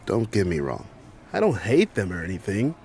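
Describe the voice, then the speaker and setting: A man's voice: on the low side, wildly swooping pitch, moderate pace, darkish tone, husky, heavily acted. A man acting as a blunt, wary manager in an audio drama, speaking emphatically. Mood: grim, defensive. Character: blunt, wary male manager, fearful of mutants. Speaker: general manager.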